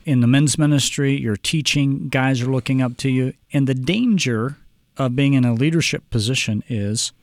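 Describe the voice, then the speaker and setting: A man from Oklahoma hosting a Bible study discussion, speaking in a measured way and reading scripture aloud. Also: medium pitch, dry recording, studio quality. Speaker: podcast host, Bible teacher.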